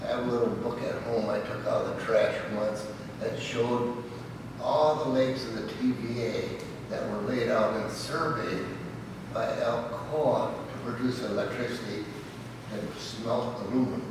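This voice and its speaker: An older man tells stories to a club audience in a folksy, chuckling way, dropping in fillers and little "okay?" checks. His medium-pitched voice is heard from a distance in a very echoey hall with a long reverb tail. Steady background noise sits under it all. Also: measured pace. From Michigan, raised in Southern California. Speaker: guest speaker at a club meeting. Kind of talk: informal anecdotal talk. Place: large echoey meeting hall.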